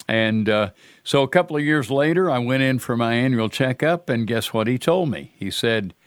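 A man's voice: mid-range voice, expressive, moderate pace, no echo, clean studio sound. Earnest older man hosting talk radio. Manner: earnest, conversational, folksy. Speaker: radio show host.

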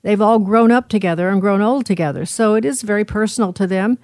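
A woman hosting talk radio with unscripted commentary in a halting, self-repeating, deliberate manner. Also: medium pitch, a little mellow, no echo. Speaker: radio talk show host.

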